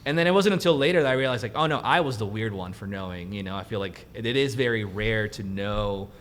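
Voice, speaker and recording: A young man from Mexico, a native Spanish speaker, telling his career story as a guest in a casual interview, animated and laughing easily. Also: on the low side, fast. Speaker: guest cinematographer.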